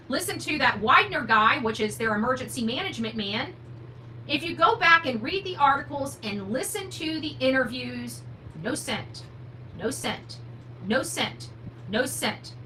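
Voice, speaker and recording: A woman's voice: high-pitched, bright, forceful tone, poor audio. A woman hosting a true crime livestream, speaking off the cuff in a blunt, opinionated, emphatic way and answering viewer comments. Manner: blunt, opinionated, emphatic, asks rhetorical questions. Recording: noticeable background noise with hum.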